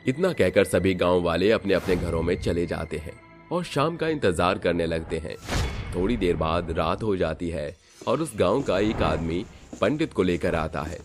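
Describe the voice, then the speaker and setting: Deep-voiced male story narrator. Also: lively intonation, normal speed, faint music behind.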